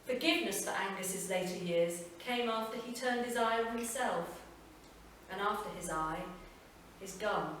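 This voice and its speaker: A woman reading a literary story aloud at a live event, measured and expressive, recorded from far off. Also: mid-range voice, a little hiss or hum. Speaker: reader. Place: performance venue. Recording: echoey hall from far off, faint ambience.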